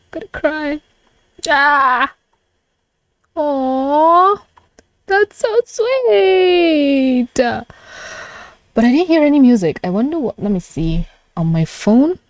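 Animated, gushing woman reacting live. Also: high-pitched, dry recording. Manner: gushing, giggly, flustered, swearing freely. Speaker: streamer reacting to a webcomic. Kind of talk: live reaction with casual commentary and reading aloud.

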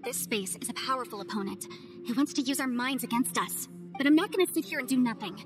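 A woman's voice: on the high side, expressive, fast, over music, close to an everyday voice. An actress playing a confident young woman legal adviser, earnest and reasoning things out aloud. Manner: analytical, earnest, persuasive.

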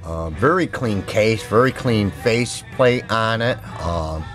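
A man's voice: fairly low pitch, expressive, slow pace, faint music behind. A middle-aged man giving a casual, plainspoken product demo with repetitive sales patter, recorded on a handheld camera indoors. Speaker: seller demonstrating used audio gear. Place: indoor room at home, music playing behind. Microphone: handheld camera mic, speaker behind camera.

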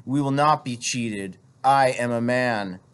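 A man's voice: mid-range voice, takes it slowly, smooth. A man voicing a proud, stubborn husband in a storybook, fierce, determined and commanding. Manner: forceful, commanding. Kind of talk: storybook character reading.

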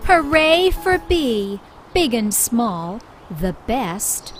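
A woman reading a storybook aloud for young children in an expressive, deliberate way, drawing out key words. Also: a little high. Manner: expressive, deliberate, with drawn-out emphasis. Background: background music.

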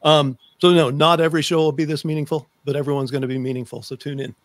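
Middle-aged male host, higher-pitched, dry sound. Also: steady tone, quick pace, no room sound. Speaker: talk show host. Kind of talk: conversational video interview. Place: home room lined with books, indoors. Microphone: close desk microphone on a video call.

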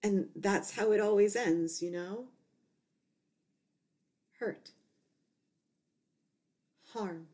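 A woman poet reading her poetry aloud in short, deliberate phrases with pauses. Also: medium pitch, mellow.

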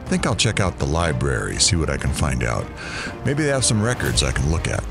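Man acting a very deep-voiced, weary investigator. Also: huge pitch swings, fairly quick, vocal fry, music in the background, full character voice. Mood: wry, grumbling. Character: weary, wry male investigator haunted by doubt. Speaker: lead character of an audio drama. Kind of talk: audio drama acting.

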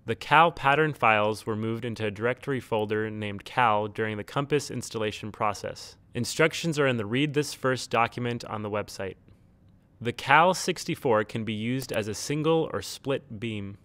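A man reading a scripted instructional tutorial in a measured, neutral, step-by-step way. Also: medium pitch, fairly bright tone, smooth, no echo. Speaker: tutorial narrator. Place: close, dry studio-style voice-over recording.

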